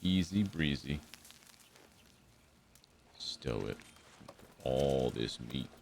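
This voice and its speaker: A man's voice: extremely low pitch, animated, very slow, vocal fry, a little mellow. A man giving casual game commentary, playfully muttering asides to himself as he streams. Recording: faint background game noise.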